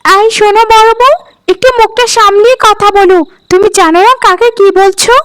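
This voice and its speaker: A woman voicing a defiant, indignant younger co-wife in an animated folk tale, delivered with melodrama. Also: extremely high pitch, brisk.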